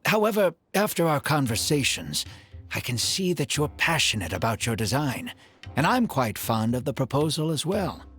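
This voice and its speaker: A man voicing an elderly, earnest desert dweller in a video game, speaking in a measured, gentle way over loud game music. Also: mid-range voice, big pitch swings, slightly rough. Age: older.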